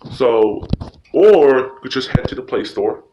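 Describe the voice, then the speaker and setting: A man hosting a casual tutorial, speaking informally and haltingly, often repeating himself. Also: medium pitch, lively intonation, warm tone, highs cut off. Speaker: tutorial host. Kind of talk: casual screen-recording tutorial. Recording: noisy recording with clicks.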